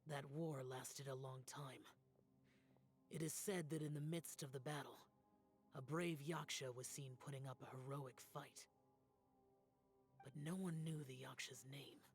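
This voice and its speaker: A man acts as a brooding young immortal warrior in a fantasy game. He is grave and aloof, with a restrained, mid-pitched delivery and music playing behind him. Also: fairly even intonation, unhurried, long pauses, close to an everyday voice. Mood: grave, resolute. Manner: grave, terse, guarded. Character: solemn, aloof young male immortal demon-slaying warrior burdened by karma. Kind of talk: scripted fantasy game acting. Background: background music.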